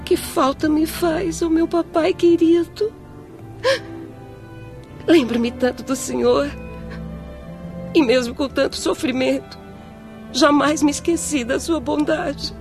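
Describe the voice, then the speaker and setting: Very high-voiced, sorrowful young girl. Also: slow pace, long pauses, over music. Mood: sorrowful longing. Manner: plaintive, pleading, tearful. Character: frail, suffering young daughter, timid and sorrowful. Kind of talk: melodramatic radio drama acting.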